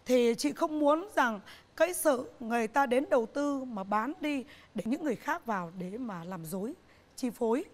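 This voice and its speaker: A middle-aged woman, a business owner, answering interview questions on a TV talk show set in an earnest, halting way, often repeating herself. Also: on the high side, animated. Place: TV studio set, indoors.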